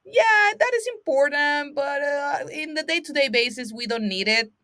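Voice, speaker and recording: A woman's voice: high-pitched, moderate pace, bright, forceful tone, no echo. Enthusiastic woman host, dry sound. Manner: enthusiastic, laughs often, non-native phrasing. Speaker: podcast host. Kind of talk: casual interview hosting.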